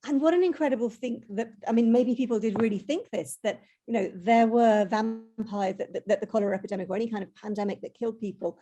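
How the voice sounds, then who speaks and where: A woman's voice: a little high, fast, hardly any pauses, dark tone. A woman hosting an interview, chatty and welcoming as she introduces and questions her guest. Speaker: host.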